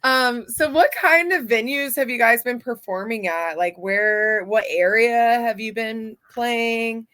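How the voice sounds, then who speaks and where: A woman's voice: on the high side, slow, hardly any pauses, bright, forceful tone, no room sound. A woman hosting a radio interview, chatting in a casual, upbeat way with plenty of fillers. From not from Texas. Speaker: radio show host.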